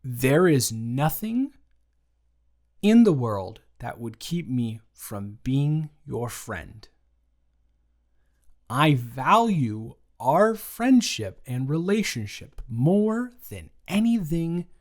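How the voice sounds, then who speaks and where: A man performing an improvised roleplay audio, speaking slowly and carefully with a soft, reassuring manner. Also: mid-range voice, very animated. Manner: slow, careful, measured speech with pauses.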